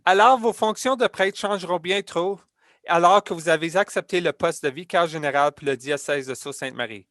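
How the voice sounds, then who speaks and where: Bright-voiced male TV host, dry sound. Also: fairly high pitch, moderate pace, no echo. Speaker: TV program host. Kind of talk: broadcast interview.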